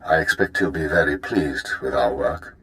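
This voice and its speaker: An actor playing a composed, authoritative imperial spymaster in a video game, formal and measured. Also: male voice, rumbling low, fairly bright tone, rough recording. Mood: satisfied.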